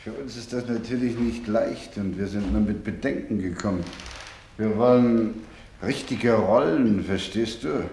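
A man's voice: fairly low pitch, measured pace, a little mellow, echoey room, slight background noise. A man playing a vain, self-important stage actor in a German radio play, with a theatrical delivery. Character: vain male stage actor craving grand classical roles.

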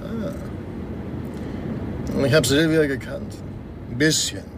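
A man's voice: a little high, slow pace, creaky. An actor playing a boozy, self-mocking married man in a German radio crime drama, chatty and ironic. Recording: car and vehicle noise behind.

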